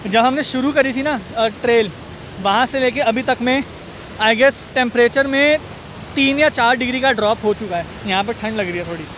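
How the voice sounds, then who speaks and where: A man's voice: very high-pitched, moderate pace, fairly bright tone, a little hiss or hum. A man, chatting casually as a travel vlogger while walking a forest trail and filming himself on a handheld phone. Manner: chatty, explains as he walks. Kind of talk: casual travel vlog narration. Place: outdoors on a forest canyon trail. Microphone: handheld phone at arm's length.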